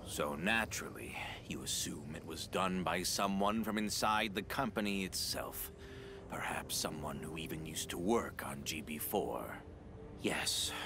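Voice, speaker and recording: Deep, slow, brooding game villain. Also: male voice, vocal fry, noisy background, exaggerated voice. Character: defeated brooding male villain, bitter former developer. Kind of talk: dramatic video game voice acting.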